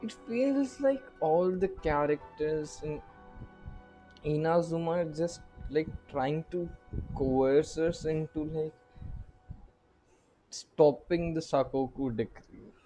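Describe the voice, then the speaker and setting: Casting: male gaming streamer, relaxed dark tone, unhurried, hesitant commentary over faint music. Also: a little high, low-quality recording. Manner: hesitant, searching for words. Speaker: gaming streamer reacting to a playthrough. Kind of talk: casual game commentary.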